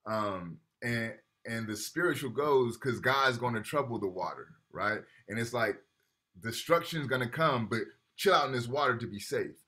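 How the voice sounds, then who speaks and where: Bright-voiced man lecturing over video call. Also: mid-range voice, animated, normal speed. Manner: casual, repeats "right?", reads texts aloud.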